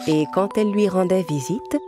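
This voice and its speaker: A woman's voice: on the low side, moderate pace. A woman reading a scripted fairy tale as a storyteller. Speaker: narrator. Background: music.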